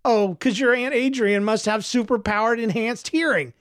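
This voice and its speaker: A man voicing an excitable young schoolboy in an audiobook story, eager and teasing, with a mock-dramatic way of talking. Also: very high voice, moderate pace.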